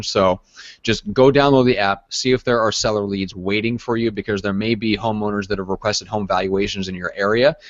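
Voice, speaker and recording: Male co-host, fairly deep, informal off-the-cuff delivery, slightly dull dry audio. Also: no room sound. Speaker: co-host of a real estate training show. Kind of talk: casual unscripted show chat and plugs.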